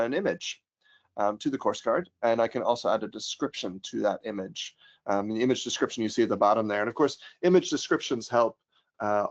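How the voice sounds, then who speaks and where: An American man working as a trainer, casually walking teachers through software in a webinar, with frequent ums and restarts. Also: mid-range voice. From United States. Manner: casual, many ums and restarts, upbeat when introducing topics. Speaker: trainer and pedagogical consultant. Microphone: computer mic on a video call. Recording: slightly dull webinar audio.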